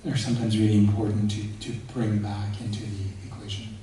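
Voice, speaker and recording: A man's voice: lowish voice, little pitch movement, a little fast, warm tone, slight background noise. Man in a distant echoey hall. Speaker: panelist. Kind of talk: conference panel discussion. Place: large conference hall.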